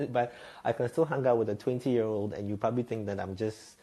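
Guy in his 30s, kinda low mellow voice, chatty and candid, laughs at himself a bit. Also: fairly quick. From Ghana. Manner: reflective, frank, laughs lightly at himself. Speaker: podcast guest, radio and TV presenter. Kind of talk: candid conversational storytelling.

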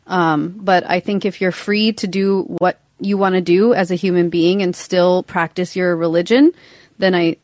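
A young woman, a podcast guest, talking in a relaxed way full of fillers, often trailing off softly. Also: on the low side, moderate pace, no room sound, clean studio sound. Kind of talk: casual interview talk.

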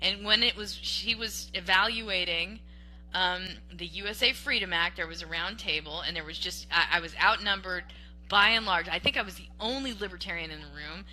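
A woman on a podcast from Western Washington, debating politics in an animated, emphatic way, restarting sentences and tagging them with "okay?". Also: on the low side, moderate pace, poor audio. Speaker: podcast co-host.

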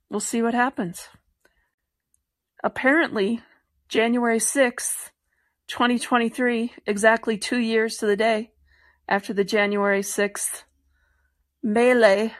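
A woman's voice: medium pitch, dry recording. A woman with an American accent hosting a show, introducing it in an informal spoken style. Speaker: podcast host.

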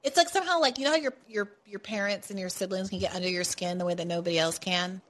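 A woman hosting a political talk podcast, animated and sarcastic, building into quick rants. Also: mid-range voice. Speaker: podcast host.

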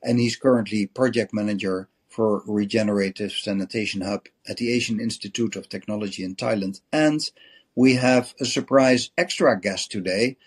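A man hosts a podcast, speaking in a low voice with a husky edge. He sets out the topic and welcomes his guest in an earnest, measured way, now and then restarting a phrase. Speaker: podcast host. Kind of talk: introducing a podcast episode and guest.

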